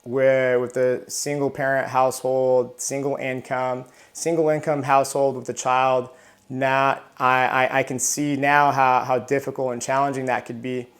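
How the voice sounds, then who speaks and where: A man's voice: medium pitch, level tone, measured pace. A man in his thirties from Southern California, a retired Marine telling his life story in a casual interview, often restarting and repeating words.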